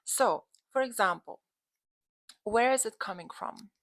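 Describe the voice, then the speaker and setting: Lithuanian woman chatting to camera like a coach, lots of vocal fry, really dry recording, emphatic. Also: mid-range voice, lively intonation, unhurried, fairly bright tone, no echo. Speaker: dating and relationship coach, channel host. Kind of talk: casual talk to camera.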